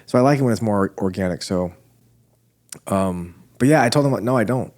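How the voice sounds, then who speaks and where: A man's voice: lowish voice, moderate pace, a little mellow. A man hosting a church podcast with a nasal voice, chatting casually and joking at his own expense. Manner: joking, self-deprecating, rambling with restarts. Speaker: podcast host. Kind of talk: casual chat.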